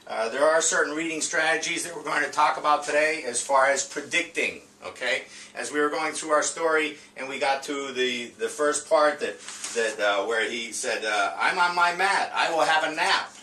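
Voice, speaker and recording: A man presenting an informal reading lesson as a teacher, speaking in a hesitant, halting way with frequent filler pauses. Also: mid-range voice, fairly even intonation, bright, forceful tone. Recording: roomy, distant mic with faint noise.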